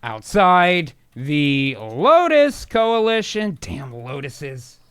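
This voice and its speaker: A man's voice: a little high, wildly swooping pitch, very slow, a bit bright, clear, resonant tone, no echo, studio quality. A man hosting and narrating, switching between casual sweary chat and reading screenplay directions aloud. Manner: irreverent, sweary. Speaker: host and narrator.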